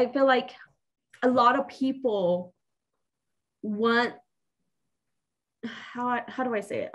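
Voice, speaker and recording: A young woman co-hosting a podcast in casual chat, easygoing and often agreeing with short replies. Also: mid-range voice. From Mexican heritage. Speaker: podcast co-host.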